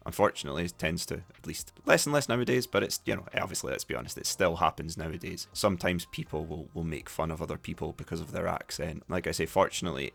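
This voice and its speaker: A young man with a mild Scottish accent from the Dundee area, hosting a relaxed podcast lesson for English learners. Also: deep voice, creaky. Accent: Scottish, Broughty Ferry. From Scotland. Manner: relaxed, conversational, with frequent fillers and restarts. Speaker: podcast host.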